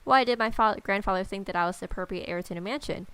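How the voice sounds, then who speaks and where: Woman reading aloud with a creaky voice. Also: mid-range voice, quick pace, sharp tone. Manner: irreverent, sarcastic. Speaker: let's player. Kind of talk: reading visual novel aloud with casual joking asides.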